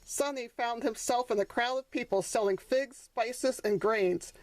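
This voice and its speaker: A woman's voice: fairly high pitch, unhurried, dry recording. A woman reading her own children's book aloud as a storybook narrator.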